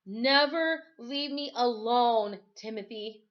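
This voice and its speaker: A woman's voice: a little high, slow pace, lo-fi sound, pitched above the performer's own voice. A woman voicing a frightened, demanding young castaway boy in a storybook reading, with plaintive, insistent delivery. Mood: angry, scared. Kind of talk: storybook read-aloud.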